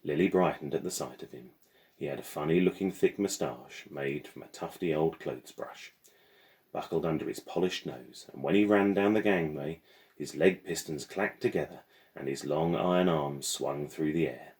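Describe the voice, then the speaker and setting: A man's voice: very deep voice, moderate pace, a little mellow. A man reading a children's novel aloud as the storyteller.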